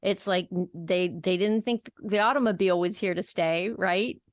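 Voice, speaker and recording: A woman hosting a podcast, speaking thoughtfully and haltingly with many fillers. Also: fairly low pitch, no echo, clean studio sound. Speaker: podcast host. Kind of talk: casual podcast conversation.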